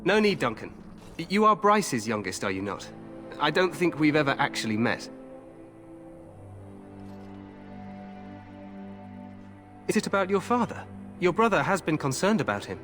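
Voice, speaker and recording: Higher-voiced, confident young king. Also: brisk, soft background music. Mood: jovial, welcoming. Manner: grand, regal, expressive. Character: confident young king, glory-hungry, regal and friendly. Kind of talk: fantasy video game acting.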